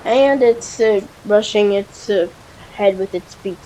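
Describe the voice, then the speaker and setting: A woman with a blocked nose from a cold, giving casual, halting commentary over a building game, with lots of fillers and restarts. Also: mid-range voice, dark tone, slight background noise, rough recording. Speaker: gameplay video creator.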